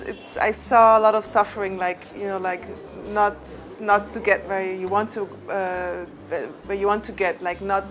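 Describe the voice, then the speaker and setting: A woman filmmaker who grew up in Switzerland, speaking hesitantly and searching for words as she tells her story. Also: medium pitch. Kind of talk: interview testimony.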